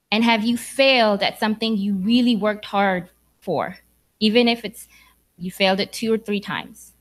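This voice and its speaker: A young woman from Sudan giving an earnest, encouraging speech to graduates in a church hall. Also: a little high. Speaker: graduation speaker.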